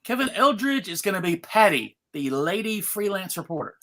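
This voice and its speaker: A host casually running a live event, announcing in a theatrical, mock-serious way with halting pauses. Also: medium pitch, very animated, fairly bright tone. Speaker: panel host and narrator.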